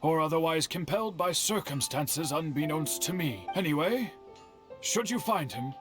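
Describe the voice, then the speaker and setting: An older swordsmith uncle from a video game. His voice sits fairly high for a man, with a formal, courteous and earnest delivery. Music plays faintly behind him. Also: expressive, moderate pace, fairly bright tone. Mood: disbelieving, earnest.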